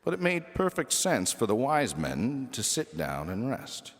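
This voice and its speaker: A man reading a humorous children's story aloud expressively, with dramatic pauses and emphasis. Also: medium pitch, wildly swooping pitch, long reverb tail.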